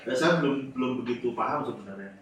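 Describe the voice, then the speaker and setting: A man's voice: mid-range voice, fast. Man telling stories in an echoey room. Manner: lively anecdotal, finishes the host's phrases. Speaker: guest, animation studio founder. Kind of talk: casual interview storytelling. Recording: echoey room, distant mic, faint noise.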